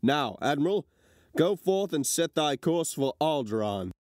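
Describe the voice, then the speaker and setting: A man's voice: on the high side, sing-song, a little slow, a little mellow, no echo. Imperious governor male actor, raised register, cold Shakespearean command, very dry sound. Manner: formal, imperious. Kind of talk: amateur Shakespearean dramatic reading.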